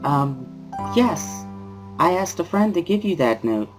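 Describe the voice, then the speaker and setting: A man's voice: a little high, big pitch swings, measured pace, music in the background, voice pushed up. A man voicing a shy, hesitant, hopeful teenage girl with a dainty voice in a visual novel reading. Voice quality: dainty, soft-spoken.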